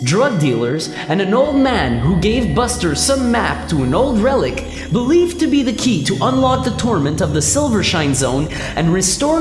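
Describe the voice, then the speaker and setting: A woman reading scripted narration for a radio play. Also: lowish voice. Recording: echoey hall reverb with loud music.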